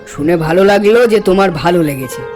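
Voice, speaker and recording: A man's voice: high voice, expressive, a little fast, darkish tone. An actor playing a hospitable, insistent village man in a horror cartoon, talking in a chatty, coaxing, folksy way. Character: hospitable, insistent village man hosting his junior colleague. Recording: music behind the voice. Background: background music.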